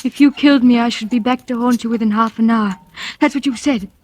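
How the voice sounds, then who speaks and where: A woman's voice: fairly high pitch, brisk, darkish tone, no echo, lightly acted. An actress in a radio thriller playing an overwrought young woman, defiant yet fearful, with intense, urgent, dramatic delivery. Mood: shocked. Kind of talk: 1940s radio thriller acting. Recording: noisy old radio drama recording.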